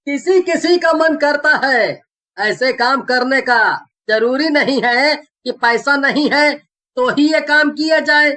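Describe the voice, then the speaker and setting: A woman voicing a devoted, practical young husband in an animated horror story, indulgent and reassuring with a teasing edge. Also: high voice, slow, pitched above the performer's own voice, full character voice. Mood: teasing, chiding. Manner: reassuring, gently chiding.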